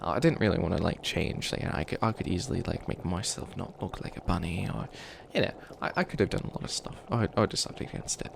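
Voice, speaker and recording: A man's voice: low voice, lively intonation, fast, hardly any pauses, heavily acted. A man voicing a flustered, youthful bunny witch who wants to be evil, stammering and rambling defensively in a comedic ASMR roleplay. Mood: fussy, rambling. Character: flustered 853-year-old youthful bunny witch, harmlessly wannabe evil. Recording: clearly noisy ambience behind voice.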